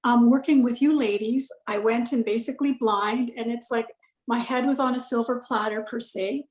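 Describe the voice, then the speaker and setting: Chatty woman, gravelly voice, echoey call. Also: fairly high pitch, fairly even intonation, normal speed. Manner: chatty, many fillers and self-corrections. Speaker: client giving a testimonial. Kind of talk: casual conversational testimonial.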